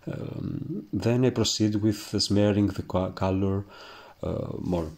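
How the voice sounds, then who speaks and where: Greek man, painting teacher, slow halting delivery, lowish voice, lots of ums. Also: a little mellow. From Greece. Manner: halting, searching for words, many fillers. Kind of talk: instructional voice-over while painting.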